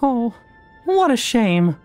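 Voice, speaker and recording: A man voicing a small, kindly cartoon mouse king in a video game, in a squeaky falsetto. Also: sing-song, slow pace, a little mellow, no echo, soft background music, heavily acted. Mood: earnest, concerned. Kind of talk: animated video game acting.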